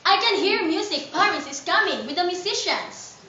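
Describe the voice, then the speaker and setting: An excited father of the bride, played by a woman, fires off hurried orders in a very high, bright voice. The mic sits far away in a roomy, echoing space, and the sound is dull and slightly noisy. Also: exaggerated voice. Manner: eager, bustling orders.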